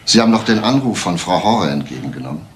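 A man's voice: deep voice, animated, fast talker, slight background noise. A man playing a blunt, probing, matter-of-fact police detective in a German crime radio play, on an old recording. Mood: brisk.

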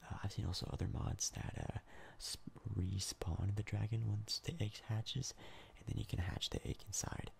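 A young man giving soft-spoken ASMR game commentary in a breathy, crackly whisper, casual and rambling with hesitant pauses. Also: lowish voice, fairly even intonation. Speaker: ASMR gaming YouTuber.

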